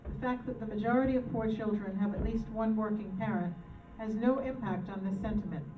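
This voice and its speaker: A woman's voice: medium pitch, a little fast. A woman reading a newspaper opinion piece aloud as part of a charity show. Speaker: reader in a charity poverty show. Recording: echoey, distant, phone-like, clear music behind.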